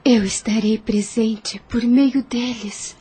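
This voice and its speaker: A woman plays a dying young wife and mother in a radio-style drama. Her voice is fairly high and husky with breath, and she speaks very slowly and haltingly, tender yet resolute. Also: fairly even intonation, mellow, exaggerated voice. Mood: tender, weak. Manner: halting, slow, earnest. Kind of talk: audio drama acting.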